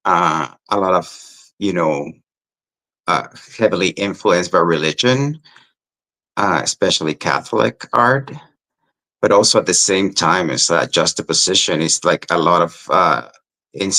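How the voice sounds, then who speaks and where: A theatre director from Mexico answers questions in a very low, deep voice. He speaks reflectively and pauses on fillers while he gathers his thoughts. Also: pushed and bright. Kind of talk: interview answers.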